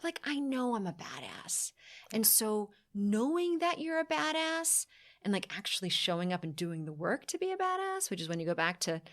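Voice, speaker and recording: An exuberant woman in her forties talks on a casual podcast, her voice at a middle pitch. She speaks emphatically and with energy, often speeding up into quick bursts. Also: sing-song. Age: 40s. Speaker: podcast guest, fitness company founder.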